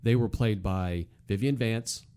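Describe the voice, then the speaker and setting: A man's voice: lowish voice, unhurried, warm tone, clean tone. A man co-hosting a podcast, giving casual conversational commentary in a hesitant, explanatory storytelling way. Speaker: podcast co-host.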